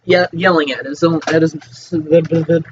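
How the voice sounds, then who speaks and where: A young man hosts a casual home podcast, joking with his friend and often breaking into laughter or sudden shouts. His voice sits a little high. The recording is dull and lo-fi, with a faint hiss underneath. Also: fairly even intonation, a little slow. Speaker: podcast host. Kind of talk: casual chat.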